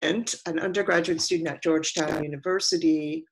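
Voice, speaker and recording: Low, even-voiced older woman. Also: creaky, rough recording. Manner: measured, deliberate, long considered sentences. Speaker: panelist, scholar and writer.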